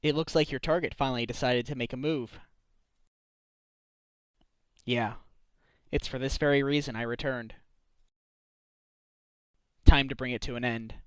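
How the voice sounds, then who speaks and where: A man's voice: medium pitch, fairly even intonation, a little slow, long pauses, clear, resonant tone, dry recording, close to an everyday voice. A man voicing an earnest male defense attorney in a fan dub, given to exclamations.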